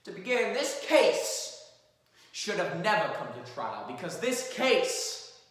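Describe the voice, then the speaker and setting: A man playing an impassioned defense lawyer in a theatrical courtroom monologue, with emphatic oratory and dramatic pauses. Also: high-pitched, sing-song, a bit bright, exaggerated voice. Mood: measured, insistent. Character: impassioned male defense lawyer pleading to a jury. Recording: very echoey hall, far from the mic.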